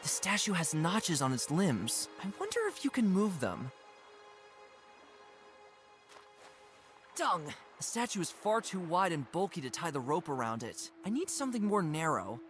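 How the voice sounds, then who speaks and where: A woman's voice: on the low side, big pitch swings, moderate pace, few pauses, soft background music. A woman voicing an earnest, curious young deer in a cartoon adventure game, musing aloud expressively. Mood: puzzled, frustrated.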